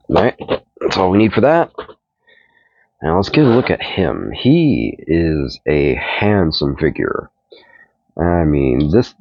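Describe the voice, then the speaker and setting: A middle-aged man with a stuffed-up voice, casually reviewing a toy in a relaxed, rambling way. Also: low voice, vocal fry, no echo. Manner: relaxed, rambling, repeats himself while handling the figure. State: stuffed up from a cold. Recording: dull, dry home recording.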